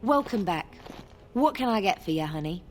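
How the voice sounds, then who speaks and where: A woman acts out a welcoming tavern hostess from a fantasy video game. Her voice sits at a medium pitch, with faint ambient noise behind it. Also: expressive, normal speed, lightly acted.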